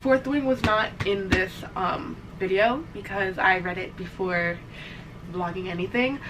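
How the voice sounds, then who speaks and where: A woman's voice: medium pitch, measured pace. A young woman in a casual reading vlog, congested and teary, rambling emotionally with lots of "like" and "literally.". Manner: emotional, rambling, swears, says "like" and "literally" often. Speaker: reading vlogger. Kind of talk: casual vlog chat to camera. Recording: distant mic with clear background noise.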